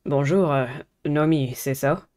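Reserved, polite teen musician boy for a dating game played by a woman, low-pitched, hesitant, understated. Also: slow pace, dark tone.

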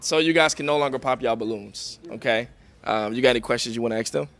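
Man, game show host, handheld mic, relaxed, crowd of guys around. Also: medium pitch, fast talker. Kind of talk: hosting a dating game show.

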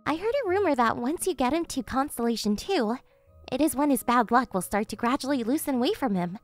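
A woman's voice: high voice, animated, fairly quick, no room sound, soft background music. A woman voicing a brash, teasing young anime heroine with a blunt manner in a comic dub. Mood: casual, blunt. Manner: blunt, foul-mouthed.

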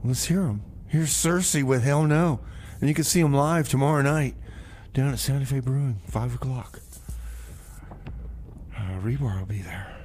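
A man's voice: mid-range voice, normal speed, darkish tone. Laid-back radio man over audible noise. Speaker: community radio music show host. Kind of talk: casual radio DJ talk, announcing songs and local events.

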